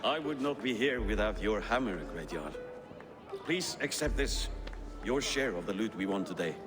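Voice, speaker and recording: An actor playing a smug, mocking Viking warrior with a dry, sarcastic way of talking. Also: male voice, lowish voice, animated, moderate pace, music in the background, natural voice. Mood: formal.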